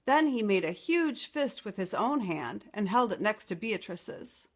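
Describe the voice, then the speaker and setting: A woman reading a children's picture book aloud as a storytime teacher, expressive and explanatory. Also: mid-range voice, unhurried.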